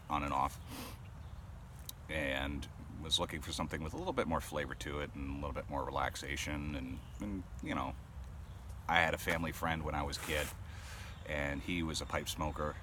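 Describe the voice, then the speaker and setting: A man's voice: very deep voice, normal speed. A man in his thirties from Rhode Island with a neutral voice, chatting casually to camera and rambling with lots of ums and uhs. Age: 30s. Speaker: pipe-smoking vlogger. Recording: clear traffic noise outdoors.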